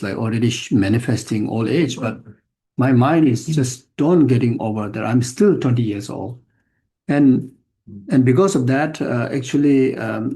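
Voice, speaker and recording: An older man gives a dharma talk in a gravelly, mellow voice. He speaks in halting fragments, circling back with repeated words and restarted phrases. His tone stays plain and reflective, with a self-mocking edge. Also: medium pitch. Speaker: Buddhist teacher. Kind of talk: dharma teaching to an audience.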